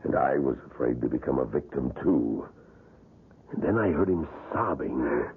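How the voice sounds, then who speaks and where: An actor playing a politely grim wax museum attendant telling a haunted tale in a gravelly, husky voice, in old-time radio drama style. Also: male voice, rumbling low, expressive, normal speed, heavily acted. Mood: haunted, tense. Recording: tinny, band-limited old radio broadcast.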